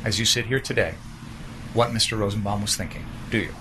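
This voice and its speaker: A man's voice: lowish voice, a little fast. A man questioning a witness as a prosecutor, pointed and insistent, in courtroom cross-examination. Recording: noisy courtroom archive clip.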